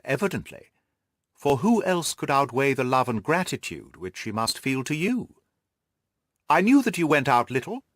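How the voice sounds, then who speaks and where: A man reading the part of a shrewd, self-assured gentleman detective, precise and methodical, in an audiobook. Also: mid-range voice, no echo, clean studio sound.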